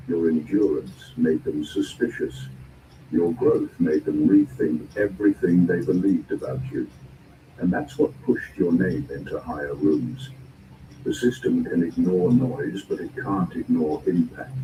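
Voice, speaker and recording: A man delivering a scripted spoken-word motivational narration in deliberate, measured phrases and short emphatic statements. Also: deep voice, muffled recording. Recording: roomy, distant, clearly noisy recording.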